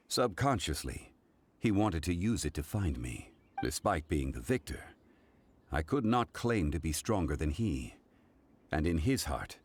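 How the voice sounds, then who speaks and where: An actor playing a composed, ageless god in human form, speaking calmly and with dignity. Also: male voice, extremely low pitch, slightly rough, dry recording, heavily acted. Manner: calm, measured, dignified. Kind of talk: game voice acting. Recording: game audio with faint music.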